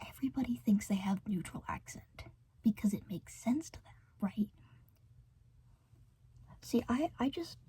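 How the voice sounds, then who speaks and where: American girl whispering asmr, soft mellow tone, lots of "like", bit of faint hiss behind. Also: medium pitch, animated, measured pace, long pauses. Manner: meandering, filler-heavy, giggly chatter. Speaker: ASMR creator.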